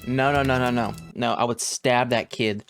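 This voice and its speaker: A man's voice: medium pitch, fairly even intonation, moderate pace, creaky, over music. A young adult man giving casual, unscripted reaction commentary as host of a video.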